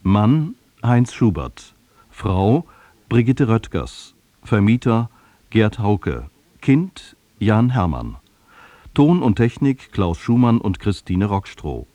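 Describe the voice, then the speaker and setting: A man's voice: lowish voice, mellow, no echo. A man reading scripted credits as a radio announcer.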